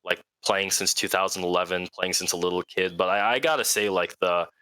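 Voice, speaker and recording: A man's voice: sits low, fairly even intonation, a little fast, bright, forceful tone, clean tone, no room sound. A man in his twenties, a guest talking casually and reflectively, with lots of filler words. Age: 20s. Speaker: guest fleet commander. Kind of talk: casual interview talk.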